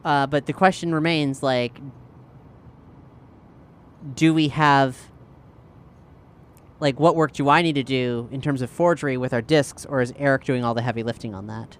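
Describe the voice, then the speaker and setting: A man chatting casually as a tabletop game player, thinking aloud in a halting way. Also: mid-range voice, steady tone, clean tone.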